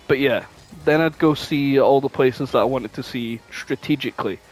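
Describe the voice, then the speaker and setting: A man co-hosting a podcast in casual chat, rambling and swearing freely as he spins out his thoughts. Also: medium pitch. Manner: rambling, sweary, animated storytelling. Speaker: podcast co-host.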